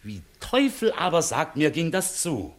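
An actor in a 1960s German radio comedy in verse, playing an authoritative court inspector with a measured, probing manner. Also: male voice, fairly high pitch, huge pitch swings, exaggerated voice. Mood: suspicious, wry. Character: shrewd, authoritative visiting court inspector, a gentleman.

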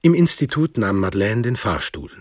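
An actor as a grave narrator, telling a thriller in a German radio play in measured literary style. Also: male voice, lowish voice, very animated, slight background noise. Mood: measured, tense.

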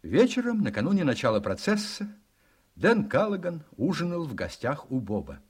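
Expressive male radio play narrator. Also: a little high, moderate pace, faint music behind. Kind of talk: scripted dramatic narration.

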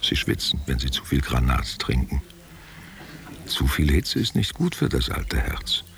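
Very deep, gravelly old stage actor. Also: male voice, big pitch swings, fairly bright tone, slight background noise, exaggerated voice. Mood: wistful, flirtatious. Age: older. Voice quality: gravelly, husky. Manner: musing, halting, poetic. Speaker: actor in a radio play. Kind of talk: literary radio play monologue, intimate and theatrical.

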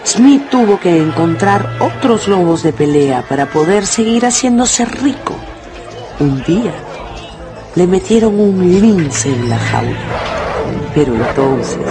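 A man telling a brutal fight tale as a dramatic narrator in a scripted radio drama. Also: on the high side. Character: animated male storyteller of a brutal fight tale. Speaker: second narrator. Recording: loud music and crowd behind.

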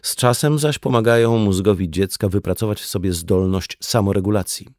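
A man's voice: lowish voice, brisk, a little mellow, no room sound, clean studio sound. A man reading a conversational non-fiction audiobook as scripted narration. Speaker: audiobook reader.

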